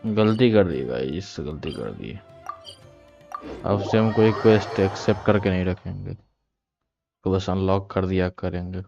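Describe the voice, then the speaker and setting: A man's voice: deep voice, racing, gravelly, long pauses, a little mellow, lo-fi sound. A man with an Indian accent giving casual live-stream gaming commentary. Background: game music.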